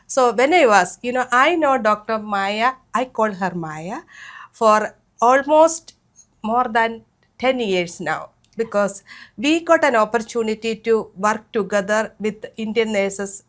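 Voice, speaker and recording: A woman's voice: a little high, expressive, takes it slowly. A middle-aged woman hosting an interview, talking in a rambling way with many fillers. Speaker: host.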